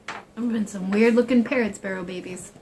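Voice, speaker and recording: A woman's voice: medium pitch, fairly even intonation, brisk, a little mellow. A woman casually chatting over a picture book, making joking, bawdy, wry side remarks. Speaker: co-reader who comments on the story. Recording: clearly noisy home recording.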